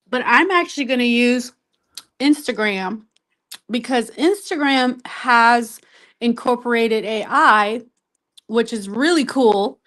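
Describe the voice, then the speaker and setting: A woman's voice: fairly high pitch, a bit bright. A woman chatting casually as a participant on a live audio show. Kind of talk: casual chat.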